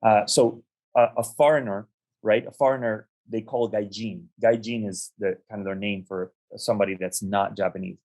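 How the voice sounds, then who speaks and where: Young guy from the us, lowish voice, thinks out loud with lots of ums, calm storytelling. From United States. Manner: measured, reflective, many ums and restarts. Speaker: interview guest. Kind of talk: conversational storytelling.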